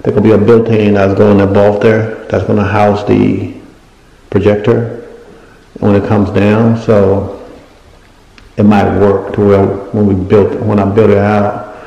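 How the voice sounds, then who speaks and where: A man's voice: on the low side, little pitch movement, a little slow, creaky, mellow, dull audio. Rambling man in an echoey bare room. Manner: rambling, searches for words, lists things as he points. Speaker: home renovation vlogger. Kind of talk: casual walkthrough narration. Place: unfinished basement room with bare drywall, indoors. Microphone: handheld phone camera.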